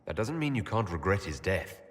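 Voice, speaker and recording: A low-voiced, inquisitive elven leader. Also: male voice, big pitch swings, in a large hall. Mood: concerned, curious. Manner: direct, inquisitive, measured. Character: calm, probing male elven leader of a fantasy order. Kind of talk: scripted video game character dialogue.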